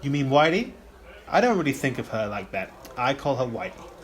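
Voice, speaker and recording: A man's voice: medium pitch, very animated, fairly quick, natural voice. A man acting a polite, uneasy young son in a radio play, speaking in a hesitant, placating way. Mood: weary, restrained.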